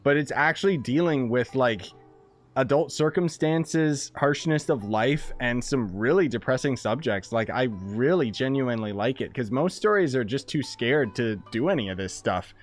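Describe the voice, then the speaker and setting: A man doing casual, slangy gameplay commentary, excitable and prone to swearing, with game music behind him. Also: mid-range voice, moderate pace. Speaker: game streamer reacting. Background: video game music.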